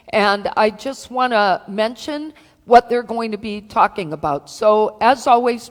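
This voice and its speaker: A woman leading a church service with informal spoken announcements to the congregation. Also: mid-range voice. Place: church sanctuary, live service. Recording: reverberant hall sound.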